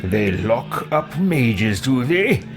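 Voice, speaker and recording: A man hosting a roleplay let's play, commenting in character in a theatrical, deliberate and haughty way. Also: mid-range voice, very animated, over loud music.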